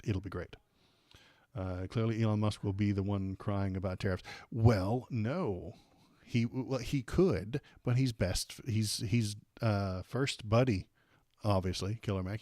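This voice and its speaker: An American man hosting a casual political livestream, rambling and halting with repeated words, irreverent and sarcastic as he chats with viewers. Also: on the low side, a little mellow, dry recording, studio quality. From United States. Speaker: livestream host. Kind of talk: casual political commentary, chatting with live viewers.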